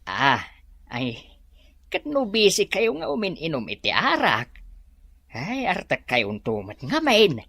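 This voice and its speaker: A woman's voice: sits low, wildly swooping pitch, slow, a bit bright, slightly rough, no room sound, exaggerated voice. A woman playing a bumbling, wheedling resort employee in a comic Ilocano audio drama.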